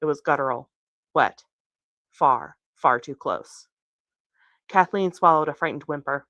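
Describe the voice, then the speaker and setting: Low-voiced woman reading a novel expressively. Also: unhurried, fairly bright tone, dry recording, muffled recording. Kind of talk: expressive audiobook-style reading. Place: bookstore reading.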